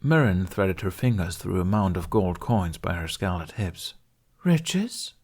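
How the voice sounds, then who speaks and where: A man's voice: low-pitched, measured pace, darkish tone, slightly rough. A man reading scripted fiction as an audiobook narrator.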